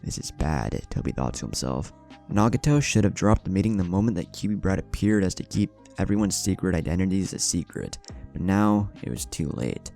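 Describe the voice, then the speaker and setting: A man's voice: sits low, expressive, brisk, vocal fry, darkish tone, soft background music. A man narrating a scripted fan fiction story in a dramatic storytelling manner.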